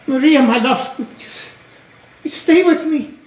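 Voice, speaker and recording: A man's voice: very high-pitched, takes it slowly, a little mellow, slight background noise. A man playing an imprisoned German pastor, tender, devout and weary, in an earnest stage play style. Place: theater stage. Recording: echoey stage recording.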